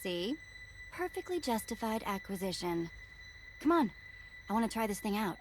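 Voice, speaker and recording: An actress playing a brash, irreverent thief and safecracker in a video game cutscene, quipping sarcastically in informal speech. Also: female voice, medium pitch, very animated, normal speed, faint music behind, close to an everyday voice. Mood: gleeful.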